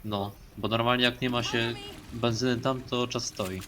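A man's voice: medium pitch, fairly even intonation, normal speed. A man giving casual gameplay commentary as he plays with friends. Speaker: video creator playing with friends. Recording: clearly noisy game voice recording.

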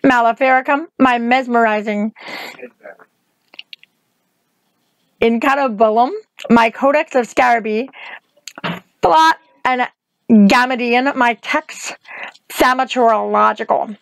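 A woman's voice: a little slow, creaky, no echo, rough recording. A woman plays a storybook witch, imperious and bitterly sardonic. Her delivery is commanding and menacing with a weary edge, recorded close and dry. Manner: low, even, commanding. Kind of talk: audiobook character acting.

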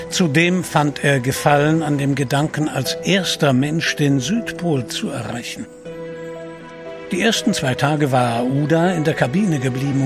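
A man narrating a scripted sea adventure audio drama as its storyteller. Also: fairly high pitch. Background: music behind the voice.

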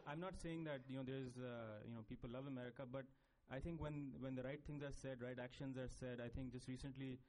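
A man's voice: medium pitch, fairly even intonation, a little fast, few pauses, noisy background. A man, speaking as a panelist and explaining at length, with frequent fillers and restarts. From Pakistan. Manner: discursive, many fillers and restarts. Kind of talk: panel discussion. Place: conference panel stage.

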